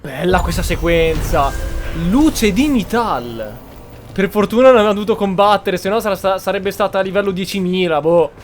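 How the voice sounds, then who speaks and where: A man's voice: high voice, expressive, fairly quick, soft background music. A man from Italy giving casual gameplay commentary, musing and searching for his words. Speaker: game streamer. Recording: faint background noise.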